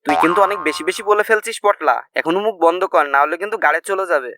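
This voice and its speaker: Man as very fast, high-voiced cartoon suitor. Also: crowd noise, lightly acted. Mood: worried, scolding. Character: anxious young bridegroom-to-be, scolding his foolish friend. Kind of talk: comedy cartoon dialogue.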